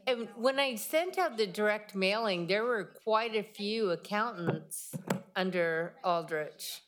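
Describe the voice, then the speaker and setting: A woman's voice: medium pitch, slow. A woman on a review committee, reading out details from a document in a casual meeting.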